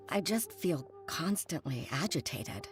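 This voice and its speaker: A woman voicing a weary, earnest young female official in a video game, composed and measured in manner. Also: sits low, animated, music in the background, full character voice. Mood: troubled, wistful.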